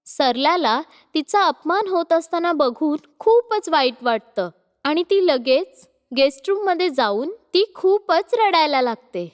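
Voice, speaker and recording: A woman narrating a cartoon story in a slow, even storytelling manner. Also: high-pitched, big-room sound.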